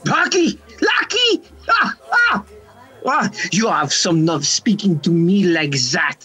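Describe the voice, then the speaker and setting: Man acting a husky, flustered nightclub manager. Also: sits high, big pitch swings, takes it slowly, sharp tone, heavily acted. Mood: exasperated. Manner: stammering, exasperated. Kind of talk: amateur radio play acting.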